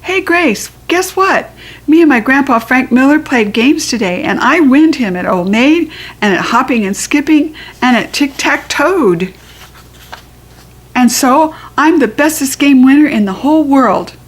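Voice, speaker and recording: Storybook kindergarten girl, woman performing: American, excitable, cheeky, boastful, raised pitch. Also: a little slow. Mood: bragging. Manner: childish grammar, emphatic and cheeky. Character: boastful, excitable little kindergarten girl narrating her day. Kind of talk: children's storybook reading.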